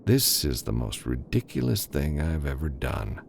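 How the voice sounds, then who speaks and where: A man's voice: very deep voice, very animated, normal speed, creaky, a little hiss or hum, voice pushed down, full character voice. A man voicing a weary, sardonic space cowboy loner in an audiobook, grumbling dryly. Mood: dry, disbelieving. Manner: grumbling, dry.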